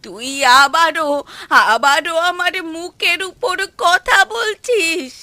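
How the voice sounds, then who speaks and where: A woman voicing a melodramatic, scolding old mother in a cartoon, guilt-tripping in drawn-out complaints. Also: very high voice, expressive, sharp tone, full character voice. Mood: offended. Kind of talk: Bengali cartoon voice acting.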